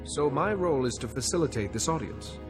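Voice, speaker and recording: Man playing a calm, formal Jedi in reverb. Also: medium pitch, over music, natural voice. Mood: diplomatic, gentle. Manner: measured, formal. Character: calm, diplomatic male Jedi sage, kindly and formal.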